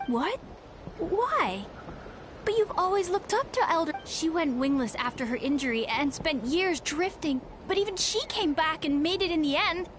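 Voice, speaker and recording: A woman voicing a pushy, eager young girl in a video game, insistent and excitable. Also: extremely high pitch, moderate pace, a little hiss or hum.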